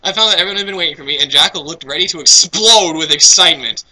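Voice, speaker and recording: A man's voice: fairly high pitch, racing, pushed and bright, poor audio. A young man co-hosting a joking fan fiction read-along, theatrical and sweary as he reads and riffs. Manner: theatrical, profane, riffing. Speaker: co-host reading fan fiction aloud.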